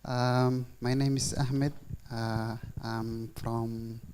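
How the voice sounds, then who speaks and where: A young man from Somalia, asking an audience question haltingly, searching for words. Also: mid-range voice, level tone, darkish tone, a little hiss or hum.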